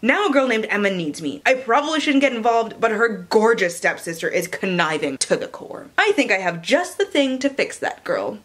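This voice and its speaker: A woman's voice: fairly high pitch, fairly bright tone. A woman voicing a sassy, meddling immortal teenage witch from a storybook, in a theatrical, knowing manner.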